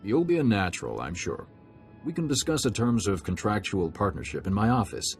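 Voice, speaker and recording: Low-voiced, polished official. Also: male voice, big pitch swings, fairly quick. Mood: ingratiating. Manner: polished, formal, ingratiating. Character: smooth, officious male corporate director. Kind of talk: video game cutscene acting. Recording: music behind the voice.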